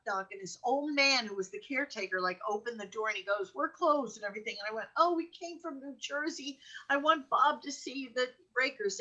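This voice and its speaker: A woman's voice: a little high, bright, forceful tone, rough recording. An American woman hosting a talk show, chatting casually and telling personal anecdotes in an exclamatory way.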